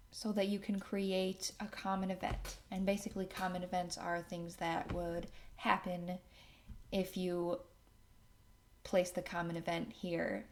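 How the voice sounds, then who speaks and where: A woman hosting a casual software tutorial, thinking aloud as she works through each step. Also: mid-range voice. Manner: thinks aloud while working. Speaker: tutorial host. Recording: clear background noise, keyboard and mouse clicks.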